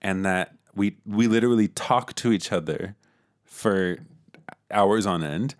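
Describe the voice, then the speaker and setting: A man's voice: on the low side, a little slow. A young man co-hosting a podcast in casual chat, dry and joking, often laughing. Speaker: podcast co-host.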